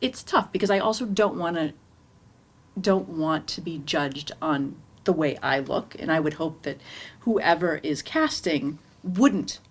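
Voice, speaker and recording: A woman with a notably low voice talks as a panelist in an unscripted discussion. She speaks in a halting, hesitant way, feeling for the right words as she goes. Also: expressive. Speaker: panelist, stage character actor.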